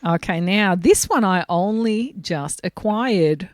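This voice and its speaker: A woman DJ chatting as a guest, a bit hoarse and raspy from a cold, telling stories with lively enthusiasm. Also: medium pitch, slow pace, dry recording. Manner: animated, enthusiastic storytelling. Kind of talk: casual chat.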